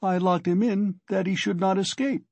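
A male narrator plays a sly examining lawyer from a novel. The voice sits fairly high, with a soft husky edge and a very dry, close sound. He is ironical and teasing, full of mocking good humor. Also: fairly even intonation, moderate pace, no room sound. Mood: playful, gloating. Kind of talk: dramatic audiobook character reading.

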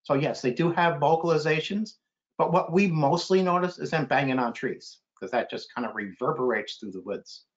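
A middle-aged American man speaks in a somewhat high voice, chatting casually as he answers questions. He is an author appearing as a guest on a video call, so the sound is a little dull and lo-fi. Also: lively intonation, moderate pace. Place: indoor room on a video call. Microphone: computer video call.

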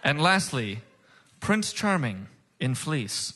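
A man's voice: on the high side, sing-song, takes it slowly, noisy background. A man hosting a comedy game show on stage, with a showy, emphatic announcer delivery. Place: stage before a live audience.

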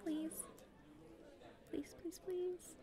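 A woman playing a nervous popular schoolgirl with a secret crush in an ASMR roleplay, softly breathy and halting. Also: very high-pitched, level tone, a crowd in the background, heavily acted. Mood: pleading. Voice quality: soft and breathy, often near a whisper. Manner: hesitant, halting, shyly pleading.

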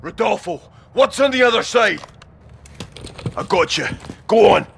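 A gritty special forces soldier in a game, fairly high and gravelly. He is blunt and defiant, swinging widely in pitch through short lines. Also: animated, unhurried, exaggerated voice. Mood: urgent. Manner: terse, blunt. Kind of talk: video game voice acting. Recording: loud battlefield noise.

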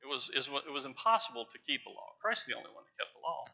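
Bright-voiced man preaching a sermon. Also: mid-range voice, animated, fast. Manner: emphatic, stresses words with rising loudness. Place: large church sanctuary with pews. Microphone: lapel mic, far camera. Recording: dull church livestream audio.

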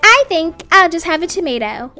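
Very high-pitched young woman acting out a story. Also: very animated, normal speed, sharp tone. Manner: casual teen slang, exaggerated dialogue. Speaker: storytelling video creator voicing all characters. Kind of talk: acted children's game roleplay story.

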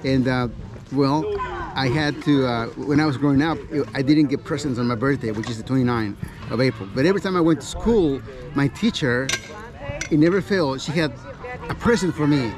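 A middle-aged man from Mexico City being interviewed outdoors in a park, speaking in a soft, hesitant way with frequent pauses. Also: mid-range voice. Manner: soft-spoken, hesitant, with many uh fillers. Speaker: event organizer, guest. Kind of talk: casual interview. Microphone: camera held at arm's length by host.

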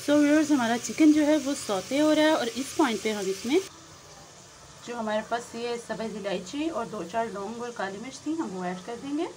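A woman's voice: fairly high pitch, normal speed. A woman, hosting a cooking channel and explaining a recipe step by step to her viewers in a friendly way. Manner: friendly, addresses viewers directly, mixes in English words. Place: home kitchen. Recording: noisy phone recording over sizzling pan. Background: frying and sizzling.

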